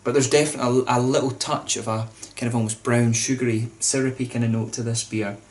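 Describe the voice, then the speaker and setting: A man's voice: mid-range voice, fairly even intonation, fast. A man, chatting casually to camera as he reviews a beer, with lots of fillers and restarts. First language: English.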